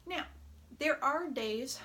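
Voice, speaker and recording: A woman chatting in an unscripted home vlog, often pausing with fillers and correcting herself, her voice hoarse at times. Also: high-pitched, expressive, bright, forceful tone. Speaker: vlogger. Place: home room. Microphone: camera on a tripod.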